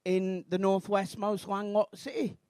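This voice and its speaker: A Mancunian man in his thirties doing a rambling, self-deprecating stand-up routine on a comedy club stage, bursting into shouted outrage. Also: high voice, flat delivery, a little slow, clean tone, dry recording, studio quality. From Manchester, England.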